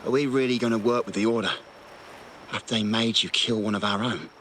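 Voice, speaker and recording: A man's voice: on the low side, a little fast, noisy background, close to an everyday voice. A man playing an uneasy, conflicted henchman of a crime family in a game, with a tense, doubtful manner. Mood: uneasy, deferential. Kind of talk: dramatic game voice acting.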